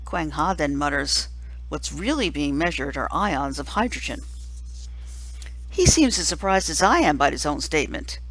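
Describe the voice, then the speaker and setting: A woman reading a first-person children's novel aloud as scripted narration, in a measured storytelling manner. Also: low voice, big pitch swings, fairly bright tone, no echo, low-quality recording. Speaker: reader, teacher.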